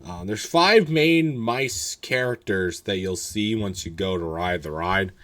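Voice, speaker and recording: A young man with a deep, low voice that is hoarse. He talks in a loose, rambling way, full of ums and restarts, and gives blunt, candid opinions in a casual solo review. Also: lively intonation, unhurried, a bit bright. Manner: rambling, filler-heavy, candid. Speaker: roller coaster reviewer.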